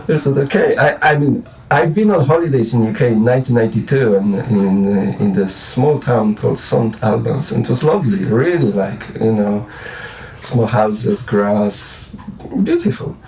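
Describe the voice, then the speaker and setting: A man's voice: medium pitch, animated, moderate pace, a little mellow, recorded from a distance, low-quality recording. A Polish man in his forties with a Polish accent, rambling and restarting as a guest in a casual late-night interview. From Poland. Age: 40s. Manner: rambling, hesitant, many restarts and fillers. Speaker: guest musician.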